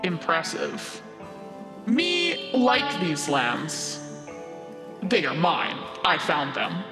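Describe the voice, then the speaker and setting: A woman voicing a huge, proud, territorial giant bird in slow, broken, imperious speech for a tabletop game. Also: low-pitched, very animated, pitched below the performer's own voice, full character voice. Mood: haughty. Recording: heavy echoing reverb, music behind.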